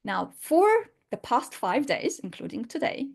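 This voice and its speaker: A woman's voice: fairly high pitch, big pitch swings, a little slow, gravelly, slightly rough. A woman teaching an unscripted online lesson in English, her fourth language, explaining emphatically with rhetorical questions. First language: not English.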